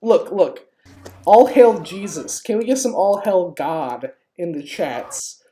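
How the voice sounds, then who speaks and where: Slow-paced, lowish-voiced woman streaming games. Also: expressive, mellow. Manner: informal, sweary, jokey mock-pleading with viewers. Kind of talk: casual live game stream commentary. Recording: clearly noisy home stream, keyboard clicks.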